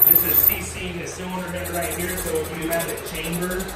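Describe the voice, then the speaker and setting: A man with a fairly high voice walks a group through a big indoor machine shop, pointing things out and explaining each station as he goes. His voice bounces around the hard-walled room. Loud machine and air hum runs underneath him. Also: flat delivery, fairly quick, reverberant. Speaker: shop tour guide. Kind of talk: walking shop tour explanation. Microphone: handheld camera from a few feet away. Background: machinery and ventilation hum.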